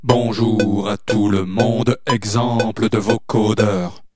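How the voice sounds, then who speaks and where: Male demo clip, measured and very slow rhythmic declamation, low pitch. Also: fairly even intonation, over music.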